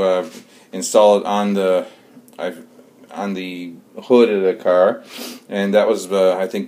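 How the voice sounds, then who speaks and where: A man giving a casual, unscripted walkthrough as an amateur vlogger, speaking haltingly with frequent pauses. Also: low voice, a little mellow. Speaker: amateur vlogger showing an installation. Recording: clear car and outdoor background noise.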